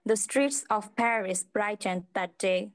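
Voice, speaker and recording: A woman's voice: mid-range voice, slow. A woman reading a children's story aloud as narrator over a video call.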